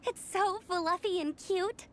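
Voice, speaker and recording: A woman's voice: extremely high pitch, unhurried, a little hiss or hum. An actress voicing a friendly, bubbly young female knight scout in an anime game, chatty and earnest. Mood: amused, gushing.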